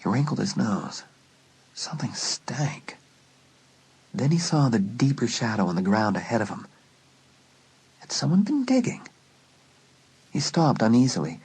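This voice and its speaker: A man's voice: mid-range voice, sing-song, measured pace, pauses often, breathy edge, low-quality recording. A man narrating a horror audiobook in a suspenseful, dramatic storytelling manner. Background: loud music.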